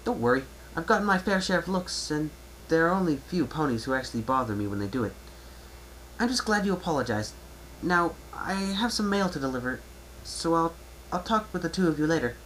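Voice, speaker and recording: A man's voice: fairly high pitch, animated, fairly quick, fairly bright tone, pitched above the performer's own voice. A man voicing a forgiving female pegasus mail carrier with an easygoing, friendly manner. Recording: noisy amateur home recording.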